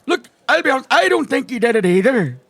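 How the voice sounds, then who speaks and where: A man's voice: very high voice, sing-song, fast talker, a bit bright, husky, no room sound, heavily acted. A man with a Northern Irish accent playing a chatty, folksy small-town barman in an improvised comedy role-play. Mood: matter-of-fact. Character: chatty male small-town barman, indignant but loyal.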